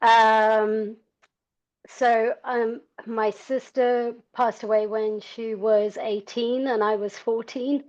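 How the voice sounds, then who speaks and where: Woman from south africa w/ highish voice, chatting candidly about herself, slightly dull lo-fi audio. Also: little pitch movement, takes it slowly, a little mellow. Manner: conversational, frank, self-deprecating. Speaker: podcast guest, endurance cyclist. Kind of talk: candid personal storytelling.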